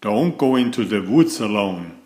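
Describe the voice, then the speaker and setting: Male reader as picture-book turtle mum: motherly, cautioning, animated pitch, echoing room sound. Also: unhurried. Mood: warning.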